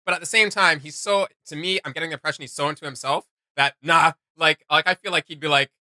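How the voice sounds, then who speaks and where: A man's voice: a little high, fairly even intonation, quick pace, sharp tone, no echo. A man hosting a reaction video in casual, slangy, jokey chat.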